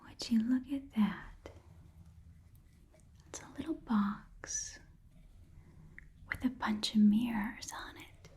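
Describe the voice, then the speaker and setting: Whispering woman as a folksy goblin shopkeeper. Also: a little high. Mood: eager, friendly. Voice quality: soft breathy whisper. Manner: casual, folksy, halting, murmuring to a customer. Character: eager, folksy goblin pawn shop keeper appraising odd items. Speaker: ASMR performer playing a shopkeeper. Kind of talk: whispered ASMR roleplay.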